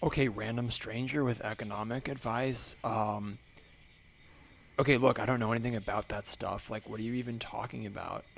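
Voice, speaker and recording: A man voicing a flighty, exasperated young valley-girl woman in an improvised comedy podcast, with a creaky voice. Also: lowish voice, fairly even intonation, moderate pace. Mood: hesitant, sceptical. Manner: exasperated valley-girl talk, dramatic and self-addressing. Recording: faintly noisy remote podcast recording.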